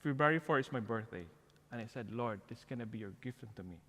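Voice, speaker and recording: A middle-aged man with a Filipino accent speaks as a guest in a church interview. His voice sits at a medium pitch, with the long reverb of a large hall around it. He talks in a conversational, storytelling way. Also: fast, fairly bright tone. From Philippines. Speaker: guest, parish outreach coordinator. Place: church, before the altar.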